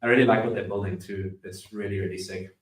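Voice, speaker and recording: A man with a South African accent hosting a casual podcast chat, relaxed and chatty with lots of fillers. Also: deep voice, quick pace, reverberant. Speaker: podcast host.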